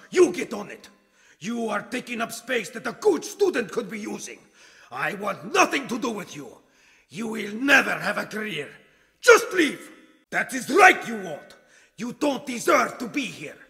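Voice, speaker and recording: A man's voice: very high voice, sharp tone, husky, dry recording, full character voice. An actor playing a stern, scornful male archaeologist in a video game, harsh and commanding as he scolds his student. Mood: scolding. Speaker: archaeologist mentor.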